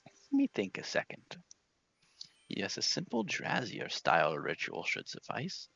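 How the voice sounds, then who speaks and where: A man's voice: wildly swooping pitch, measured pace, vocal fry, bright, forceful tone, breathy edge, no echo, muffled recording, exaggerated voice. A man voicing a befuddled, pompous, scatterbrained old wizard from a fantasy game. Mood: musing, scatterbrained. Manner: pompous, befuddled, swooping into high pitch. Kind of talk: game dialogue read in character.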